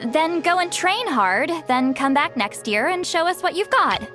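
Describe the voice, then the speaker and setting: An actress voicing a lively, encouraging woman in a game. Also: high-pitched, normal speed, soft background music. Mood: upbeat. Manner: upbeat, encouraging. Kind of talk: game cutscene voice acting.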